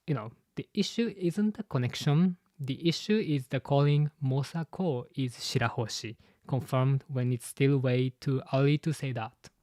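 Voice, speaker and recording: A man with a Japanese accent, a native Japanese speaker, hosting a live-stream commentary and talking haltingly with many fillers and restarts. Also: medium pitch, a little mellow, no room sound. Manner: halting, full of "you know" fillers and restarts. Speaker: channel host and translator.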